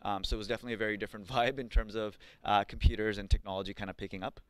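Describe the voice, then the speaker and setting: Man from pakistan, 30s, classroom lecturer, low-pitched, dry recording, casual with filler words. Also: fairly even intonation, rapid-fire, clean tone, no room sound. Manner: conversational, many ums and likes, asks the class questions. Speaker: coding bootcamp instructor.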